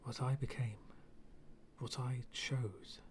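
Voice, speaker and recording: Man voicing a menacing fantasy villain, medium-pitched male, slow, half-whispered, bitter, brooding, dramatic pauses, ASMR roleplay monologue. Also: steady tone, a little hiss or hum, lightly acted. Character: fallen-world villain, male, bitter, menacing, coldly philosophical.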